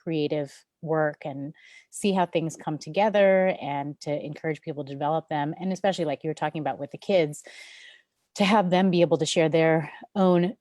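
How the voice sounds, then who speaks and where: A woman's voice: low-pitched, fairly even intonation, normal speed, darkish tone, dry recording. A woman guest in a casual video chat, speaking earnestly and enthusiastically. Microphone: video call.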